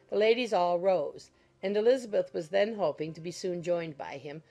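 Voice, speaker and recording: A woman's voice: on the low side, darkish tone. A woman reading scripted literary narration for a dramatized audiobook.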